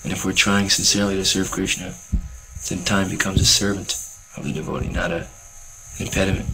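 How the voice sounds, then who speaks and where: Young guy, deep steady voice, kinda bright tone, earnest and calm, faint tape hiss. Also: fairly quick, poor audio. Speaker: book distributor. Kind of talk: reflective commentary over footage.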